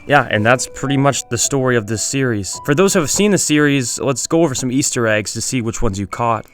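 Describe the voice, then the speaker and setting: A man's voice: medium pitch, animated, brisk, noisy background. A man hosting a video essay, reading scripted narration. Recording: music behind the voice.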